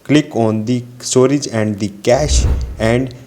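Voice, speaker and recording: A man's voice: medium pitch, takes it slowly, dark tone. A man narrating a step-by-step tutorial voice-over in simple, non-native English phrasing. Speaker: tutorial host.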